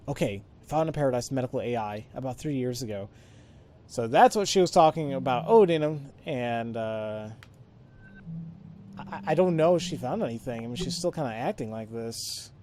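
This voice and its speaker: A man's voice: medium pitch, darkish tone, slight background noise. A man giving casual let's play commentary, thinking aloud in a rambling, self-deprecating way and mumbling through text he reads. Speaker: let's player.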